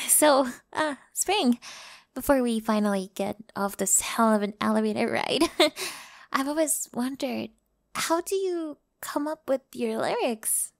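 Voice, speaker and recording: A woman's voice: fairly high pitch, lively intonation, a little slow, creaky, no room sound, clean studio sound. A woman playing an eager, flustered young delivery worker in an audio drama, with a nervous, hesitant way of talking. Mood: awkward, curious. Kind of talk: scripted ASMR audio drama.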